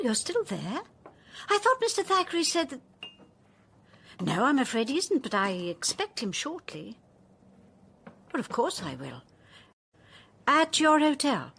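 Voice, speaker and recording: An actress playing a brisk, courteous woman at a detective agency in a radio drama, polite and efficient. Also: sits high, wildly swooping pitch, fairly bright tone, slight background noise, heavily acted. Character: Miss Birch, brisk, courteous woman office partner.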